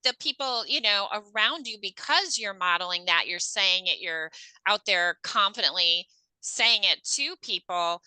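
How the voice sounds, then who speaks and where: A woman's voice: mid-range voice, lively intonation, a little slow, pushed and bright, smooth, no echo, rough recording. A woman hosting a podcast, telling personal stories in a rambling, self-aware way during a casual interview. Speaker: podcast host.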